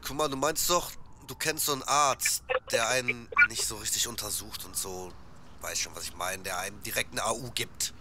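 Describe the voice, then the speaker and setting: A man acts out a lazy young slacker from an audio play. His voice sits fairly low, and he talks in slouchy slang with sighs, sounding hungover. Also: normal speed, very noisy, close to an everyday voice. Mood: casual, chummy. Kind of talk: casual slangy audio drama dialogue.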